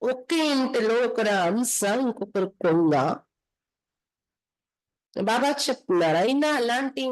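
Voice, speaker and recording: A woman's voice: medium pitch, poor audio. A woman from India with a Telugu accent, reading scripture aloud and explaining it instructively as a spiritual teacher.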